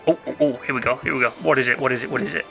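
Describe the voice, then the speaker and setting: Bright-voiced man narrating gameplay. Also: mid-range voice, rapid-fire, vocal fry, faint music behind. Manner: chatty, rambling, self-interrupting. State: losing his voice. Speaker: gameplay commentator. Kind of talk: casual gameplay commentary.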